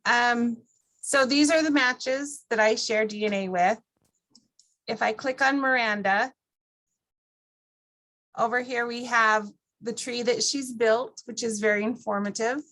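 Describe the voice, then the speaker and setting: A woman presenting an informal software walkthrough to a class, explaining things step by step in a conversational way. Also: fairly high pitch, unhurried, pauses often, poor audio.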